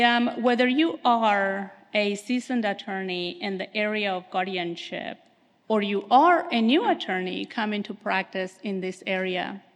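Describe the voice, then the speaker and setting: A woman attorney giving a measured, formal conference presentation in a soft voice. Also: mid-range voice. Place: conference hall. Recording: reverberant hall.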